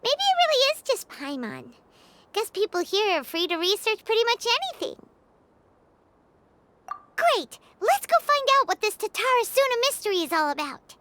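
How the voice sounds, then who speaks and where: A woman voicing a small, chirpy, excitable female fairy companion in a video game. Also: very high-pitched, moderate pace, heavily acted. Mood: curious, eager. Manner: refers to self in third person, excitable. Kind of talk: animated video game voice acting.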